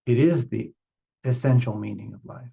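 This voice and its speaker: Gravelly-voiced male meditation teacher, slightly muffled. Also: medium pitch, dark tone. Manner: halting, reflective, explanatory. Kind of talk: unscripted spiritual teaching with group questions.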